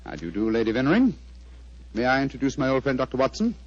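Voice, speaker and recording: A shrewd gentleman detective in a 1940s radio mystery. His husky voice moves expressively as he puts formal, probing questions with great courtesy. The old recording is dull and close. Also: medium pitch, very animated, no room sound. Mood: pleased, urbane. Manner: formal, measured, incisive questioning.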